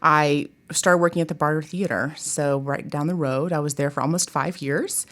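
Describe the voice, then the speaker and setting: A middle-aged woman from Tennessee with a fairly low voice talks as a guest on an interview show. She is chatty and expressive, dropping informal asides into a casual, conversational delivery. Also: normal speed. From Tennessee, United States. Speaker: guest, theater professor. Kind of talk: casual chat.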